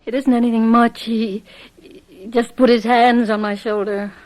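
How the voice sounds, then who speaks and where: An actress playing a young, resigned farm wife in a radio drama, wary and pleading, with a hesitant, placating manner. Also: female voice, on the high side, flat delivery, unhurried, darkish tone, close to an everyday voice, poor audio. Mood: anxious, pleading.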